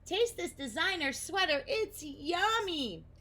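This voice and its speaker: A woman's voice: extremely high pitch, very animated, sharp tone, pitched above the performer's own voice, full character voice. Woman voicing a greedy, giddy sock-eating moth. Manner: gleeful and slow over the food. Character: greedy, silly young moth who eats clothes.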